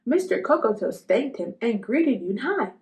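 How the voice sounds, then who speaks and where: Animated woman reading a children's story. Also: a little high, normal speed. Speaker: storybook reader. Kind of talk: expressive scripted children's story narration.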